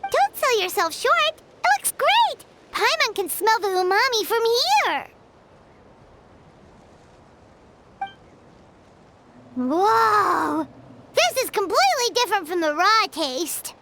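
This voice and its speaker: A woman voicing a tiny, excitable, food-loving fairy companion in a video game, squeaky and childlike, exclaiming and calling herself by name. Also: a little slow, a little hiss or hum, exaggerated voice. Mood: amazed. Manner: speaks of herself in third person, exclaiming. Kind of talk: animated video game voice acting. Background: background music.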